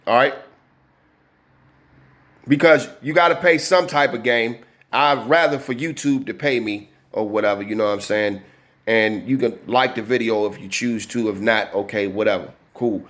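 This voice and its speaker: A man's voice: mid-range voice, a bit bright. A man in his early forties hosting his own channel, talking casually at his desk, with heavy slang, a lot of cursing and constant "you know what I'm saying". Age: 40s. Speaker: channel host. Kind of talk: casual vlog talk to camera.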